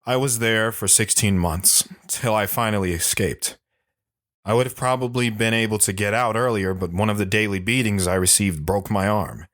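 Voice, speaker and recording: An actor playing a bitter, haunted older army sergeant telling his story in a brooding, halting way for an audio drama. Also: on the low side, a bit bright, no echo, clean studio sound, close to an everyday voice. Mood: weary, bitter. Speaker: dramatic monologue narrator.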